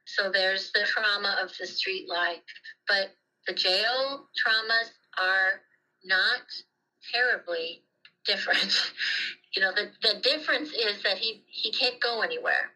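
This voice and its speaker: A woman's voice: mid-range voice, takes it slowly, bright, forceful tone, poor audio. A middle-aged woman speaking candidly and reflectively in a conversational interview, repeating words for emphasis. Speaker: interviewee, mother of the story's subject.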